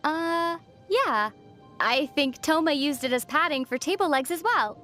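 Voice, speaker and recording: A gentle young heroine of a game speaks in a high, measured voice. She sounds thoughtful and quietly teasing, with faint music behind her. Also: lively intonation, exaggerated voice. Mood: gently teasing. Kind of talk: video game dialogue acting. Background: faint background music.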